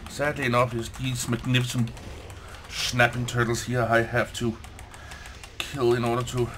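A young man with a Danish accent, a native Danish speaker, giving casual let's play commentary, excitable, swearing and laughing at the game as he plays. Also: medium pitch, normal speed, slight background noise. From Denmark.

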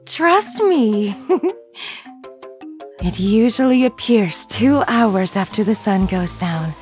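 A woman's voice: mid-range voice, expressive, slow, darkish tone. A woman voicing a shy, bookish anime schoolgirl with a slyly dramatic streak in a fan dub. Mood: eerie storytelling. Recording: music behind the voice. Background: music.

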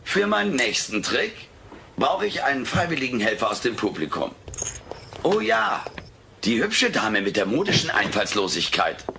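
A man's voice: mid-range voice, big pitch swings, normal speed, vocal fry, bright, forceful tone, full character voice. A man voicing a cheeky furry alien who boasts as an amateur magician in showy, pompous patter, in a comedic radio play. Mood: showy, boastful. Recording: noisy old cassette transfer.

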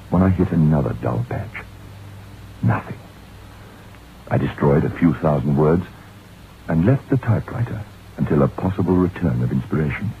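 Very deep-voiced brooding novelist. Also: male voice. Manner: measured, reflective storytelling. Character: male novelist narrating a haunted tale, brooding and reflective. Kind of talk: 1960s radio drama narration. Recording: noisy, tinny vintage radio recording.

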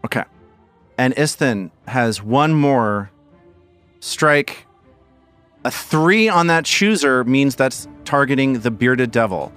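Male game master, slow and deliberate, dry humor. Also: mid-range voice, expressive, fairly bright tone, soft background music. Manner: measured, dramatic narration with dry humor. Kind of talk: narrating a tabletop game.

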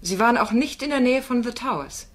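A woman's voice: fairly high pitch, animated, fairly quick. A woman playing a firm, probing female defence lawyer in a crime radio play, with insistent, pointed questioning. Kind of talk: German radio drama acting.

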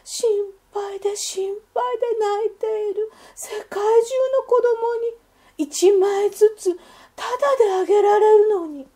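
Wailing, high-pitched little duck child. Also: female voice, slow pace, mellow. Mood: sobbing, wistful. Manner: wailing, sobbing, childlike pleading. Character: tearful, anxious little duck child fretting over a loss. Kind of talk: children's storybook reading.

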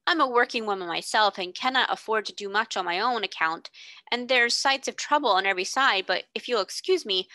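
A woman's voice: on the high side, no echo, pitched above the performer's own voice. A woman putting on a cheerful baker woman. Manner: warm, homely, folksy exclamations. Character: cheerful good-natured working-class baker woman.

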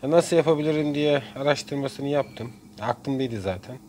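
A man giving a casual hands-on tutorial in short phrases with frequent pauses. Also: medium pitch, darkish tone. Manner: halting, pausing between short phrases. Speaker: DIY video maker. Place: indoors at a workbench. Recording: clear background noise, room ambience.

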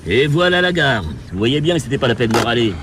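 An actor playing a grumpy, put-upon taxi driver in a radio drama, curt and sardonic. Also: male voice, mid-range voice, lively intonation, quick pace, natural voice. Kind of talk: French radio drama acting. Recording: faint car and street noise.

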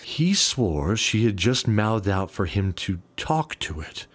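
Low-voiced man narrating a fantasy drama. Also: big pitch swings, takes it slowly. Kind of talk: scripted dramatic storytelling, radio play. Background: music bed.

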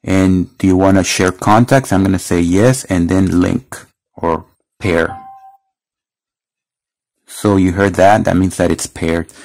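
A man's voice: sits low, steady tone, slow, creaky, pauses often, darkish tone. A man giving a casual hands-on product demonstration, explaining things step by step. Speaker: product reviewer. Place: indoors at a wooden table.

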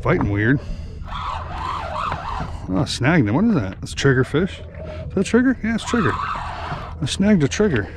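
A man hosting a fishing vlog from an open boat at sea, giving lively play-by-play as fish bite. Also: on the high side, a little slow, pauses often. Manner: excited play-by-play while reeling in fish. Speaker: fishing guide and channel host. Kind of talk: casual fishing vlog commentary. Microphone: action camera on the boat. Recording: outdoor boat noise behind the voice. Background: boat engine and water noise.

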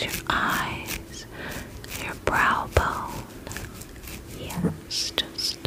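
A woman whispering softly and breathily in an ASMR spa roleplay, gentle and soothing as she attends to the listener. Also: rough recording. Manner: gentle, soothing, unhurried reassurance with soft repetitions.

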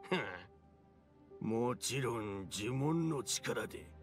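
A man's voice: medium pitch, animated, takes it slowly, soft background music. A man playing an ancient, mischievous male fox spirit in a video game, with old-fashioned, theatrical elderly speech. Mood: gleefully villainous. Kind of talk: Japanese video game acting.

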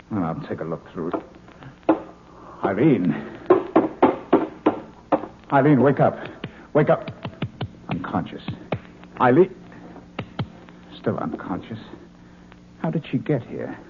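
A scientist with a lively, rising and falling voice, excitable and in charge. The old broadcast sounds narrow and phone-like, with clear hiss under it. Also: male voice, fairly low pitch, slow pace, pauses often, exaggerated voice. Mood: earnest. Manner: excitable, commanding. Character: eager male scientist leading a saucer project. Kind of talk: 1950s radio drama acting.

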